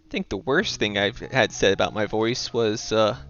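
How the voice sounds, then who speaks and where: A man's voice: on the low side, moderate pace, dull audio, soft background music. A young man from the East Coast of the US, casually commenting on his moves while playing and chatting with viewers. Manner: relaxed, talks through his moves and reads chat aloud. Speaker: streamer playing a board game.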